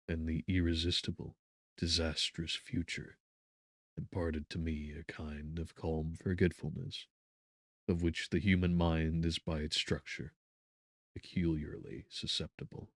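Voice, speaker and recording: A very deep-voiced man narrates a classic horror audiobook. His delivery is very unhurried and theatrical, moving between hushed phrases and emphatic swells. The sound is very dry and close. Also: no room sound. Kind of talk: dramatic literary narration of a gothic horror novel.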